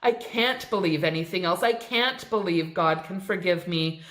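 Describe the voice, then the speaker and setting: A woman reading a story aloud, voicing a dying woman who pleads in a shrill, frantic voice. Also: a little slow, a bit bright. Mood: despairing. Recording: echoey room.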